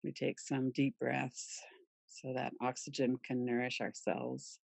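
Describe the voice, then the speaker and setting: A woman leading a guided relaxation meditation in a soothing, gentle, unhurried manner with pauses. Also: extremely low pitch, little pitch movement, vocal fry, no echo. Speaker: yoga nidra meditation guide.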